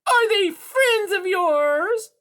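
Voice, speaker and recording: A woman's voice: extremely high pitch, lively intonation, extremely slow, a bit bright, pitched above the performer's own voice, exaggerated voice. A woman voicing a sneering, bullying female cat villain in a children's story, with a menacing, snarling manner. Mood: snarling, suspicious.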